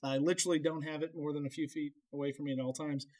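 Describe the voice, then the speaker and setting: A man hosting a casual, chatty interview in a halting, rambling, self-deprecating way. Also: fairly high pitch, little pitch movement, darkish tone. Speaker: podcast interview host.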